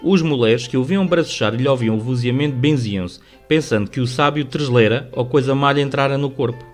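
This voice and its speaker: A man's voice: mid-range voice, a little fast. A man with a European Portuguese accent reading scripted literary narration for a radio drama. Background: soft music.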